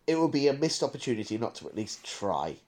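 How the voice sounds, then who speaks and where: Male podcast co-host, mid-pitched, conversational and discursive, thinks out loud. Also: very animated, quick pace. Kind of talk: casual podcast chat.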